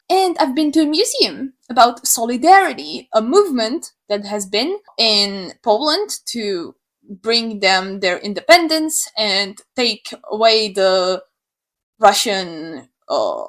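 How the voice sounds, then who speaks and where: Young woman from Slovakia, English, high voice, sarcastic, animated, swears casually. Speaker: YouTuber. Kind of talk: opinion rant to camera.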